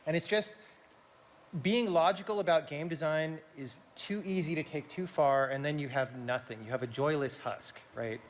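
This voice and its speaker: A man with a fairly high voice gives critical, opinionated remarks as a panelist. He is heard in a reverberant hall, with faint noise behind him. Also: normal speed. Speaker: panelist, game developer. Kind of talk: panel discussion in front of audience. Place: large indoor event hall.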